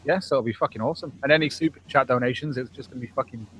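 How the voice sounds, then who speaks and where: A man's voice: medium pitch, rapid-fire, a bit bright, a little hiss or hum, low-quality recording. A young man with a Manchester accent, chatting casually on a group call and rambling crudely with lots of fillers and restarts. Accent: Manchester English. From England. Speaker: panel guest.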